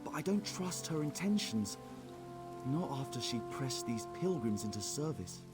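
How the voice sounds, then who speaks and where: Animated, earnest scholarly mage played by a man. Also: medium pitch, over loud music, close to an everyday voice. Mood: concerned, urgent. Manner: formal, measured, expository. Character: earnest scholarly male mage and former priest, cautious, learned. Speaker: quest-giving game character. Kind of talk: scripted fantasy game dialogue.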